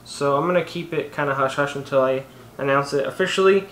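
Young male tech vlogger, casual and rambling, mid-pitched, recorded in a roomy space. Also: brisk, fairly bright tone, slight background noise. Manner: rambling, self-correcting, many fillers. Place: indoor room in front of a banner. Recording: echoey room.

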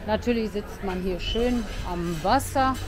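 A woman's voice: mid-range voice, a little slow, music in the background. A woman vlogger chattily narrating a casual walking tour along a seaside promenade, pointing out sights as she goes. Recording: busy crowd noise behind. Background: crowd.

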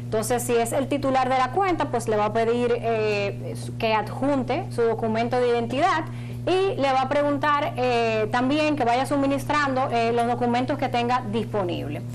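A woman in her thirties explaining procedures as a studio guest, in halting phrases with repeated words. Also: fairly high pitch, a little hiss or hum, low-quality recording. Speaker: financial agency spokeswoman, studio guest. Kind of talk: explanatory interview talk. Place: small indoor TV studio.

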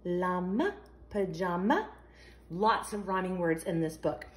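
Slow, animated woman reading to children. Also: fairly low pitch. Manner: sing-song, asks the young listeners questions. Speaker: children's storytime host. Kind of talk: expressive read-aloud of a picture book for young children.

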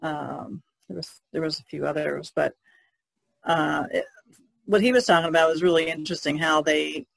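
American woman, podcast panelist, gravelly creak, mid pitch, dry sound, casual talk. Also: fairly even intonation, moderate pace, no room sound, rough recording.

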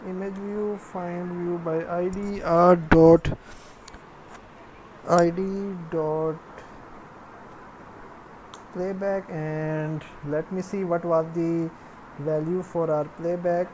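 A woman narrating a coding tutorial step by step, in halting, piecemeal phrases as she works. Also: on the low side, little pitch movement, darkish tone, slight background noise, low-quality recording. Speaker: programming tutorial presenter.

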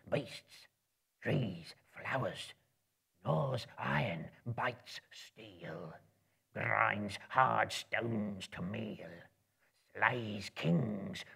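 Casting: a hungry, slimy cave creature, hissing, very husky and drawn-out, spiteful and wheedling, for a storybook. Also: male voice, lowish voice, fairly bright tone, exaggerated voice. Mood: menacing riddling. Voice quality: hissing, raspy. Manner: drawn-out, wheedling, muttering to himself. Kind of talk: dramatic storybook reading.

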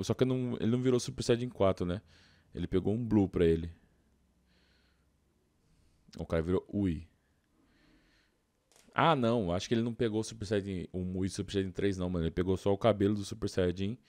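A man's voice: low voice, a little fast, pauses often, a little mellow, dry recording. A Brazilian man doing casual gameplay commentary, informal and slangy, thinking aloud into a close mic. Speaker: gaming YouTuber.

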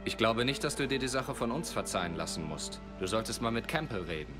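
A man playing a steady, supportive soldier in a dubbed war game, calm and plain-spoken. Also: mid-range voice, brisk, over music, close to an everyday voice. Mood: calm, reassuring. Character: steady, supportive male soldier counselling a comrade.

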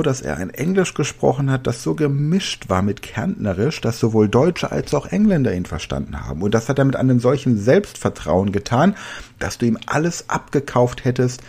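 A man's voice: mid-range voice, gravelly, mellow, slightly rough. A man in his forties hosting a solo podcast, coaching listeners directly in a conversational way with casual asides. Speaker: podcast host and language trainer.

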